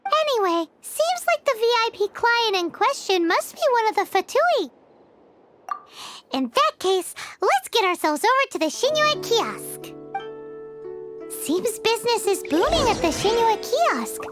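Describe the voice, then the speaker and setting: A woman's voice: very high voice, very animated, a little slow, exaggerated voice. A woman voicing a small, cheeky, childlike fairy companion in a video game, blunt and exclamatory. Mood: curious. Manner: refers to self in third person, exclamatory. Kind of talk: cartoonish video game acting. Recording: loud background game music.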